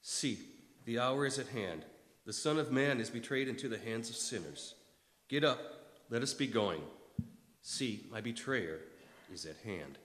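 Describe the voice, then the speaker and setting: A man's voice: mid-range voice, lively intonation, unhurried, pauses often, a bit bright. A man playing a grave, resigned religious teacher in a solemn scripture reading, in an echoey hall. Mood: urgent, sorrowful. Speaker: reader in a church readers' theater.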